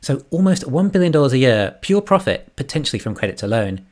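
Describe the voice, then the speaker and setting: Guy reading a scripted finance commentary, normal pitch but really up and down melody. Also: big pitch swings, fairly quick, darkish tone. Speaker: YouTube stock analyst.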